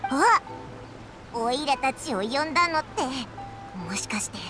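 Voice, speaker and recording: A woman voicing a small, childlike fairy companion in a Japanese anime game, blunt and excitable, with a brash boyish way of talking. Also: a little slow. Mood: indignant. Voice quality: squeaky, childlike. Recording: loud music behind the voice.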